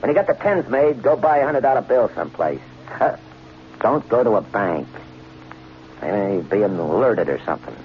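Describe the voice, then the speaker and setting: An actor playing a bossy, greedy American swindler in an old-time radio drama, slangy and cocky. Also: male voice, on the low side, sing-song, moderate pace, a little hiss or hum. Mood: impatient, commanding. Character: brash, bossy, greedy American swindler telling his story. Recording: muffled vintage radio, music underneath.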